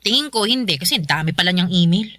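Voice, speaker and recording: A woman's voice: on the low side, expressive, fast, sharp tone, no echo, clean studio sound. A woman playing a career-driven, defensive girlfriend in a radio drama, insistent and dismissive. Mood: busy, defensive. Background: faint music.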